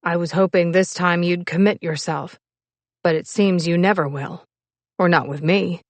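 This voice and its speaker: A woman voicing a proud, frustrated young sailor in love, husky, terse and intense, in an audiobook story. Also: fairly low pitch, fairly even intonation, moderate pace, dry recording, lightly acted. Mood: bitter. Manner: terse, intense, clipped words. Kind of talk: audiobook character dialogue.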